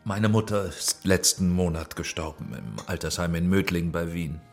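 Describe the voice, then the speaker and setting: A man's voice: sits low, moderate pace, faint music behind. A man playing a courteous, uneasy film director and father in a German radio drama, mild and hesitant. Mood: subdued.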